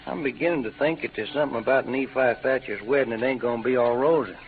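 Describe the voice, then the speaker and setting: A man's voice: medium pitch, fast, natural voice. A man playing a laconic, polite gunman and card player with a folksy Western American drawl in an old radio western. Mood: gentle, courteous. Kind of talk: 1940s-50s radio western acting. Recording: tinny old radio recording, faint noise.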